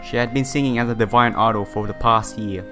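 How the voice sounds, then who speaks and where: Guy w a sore throat reading a visual novel out loud, casual comments, music behind him. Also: mid-range voice, steady tone, brisk. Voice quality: slightly broken, sore-throated voice. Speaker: let's player reading a visual novel aloud. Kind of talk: casual playthrough, reading game text with side comments. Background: game music.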